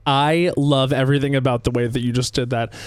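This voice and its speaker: A playful, theatrical man chatting as a podcast guest. His voice is smooth and resonant, at a middle pitch, and recorded dry and close. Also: little pitch movement, brisk, no room sound. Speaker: guest, comedian. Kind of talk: casual chat.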